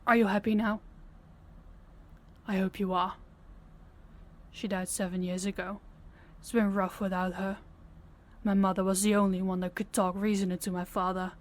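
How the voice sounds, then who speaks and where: A woman playing a guarded but caring young rival princess in an intimate fantasy audio roleplay, reserved and measured. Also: mid-range voice, little pitch movement, close to an everyday voice. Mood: bitter, grieving.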